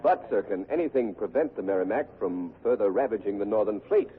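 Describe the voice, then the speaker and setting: A man playing an earnest war correspondent reporting live in an old radio drama. His voice is fairly low, and he talks in measured, solemn phrases with a courteous formality. The recording is dull and vintage. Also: expressive, close to an everyday voice. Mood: courteous probing.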